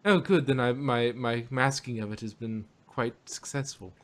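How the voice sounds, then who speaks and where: An American man from Texas hosting a podcast in casual chat, talking in a halting, self-correcting, jokey way. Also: mid-range voice, fairly bright tone. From Texas, United States. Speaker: podcast host.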